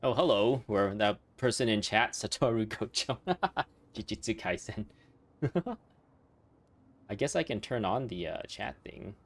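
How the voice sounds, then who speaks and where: Playful, animated game streamer. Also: medium pitch, unhurried. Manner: playful, giggly, rambling. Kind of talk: casual livestream commentary. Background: game music.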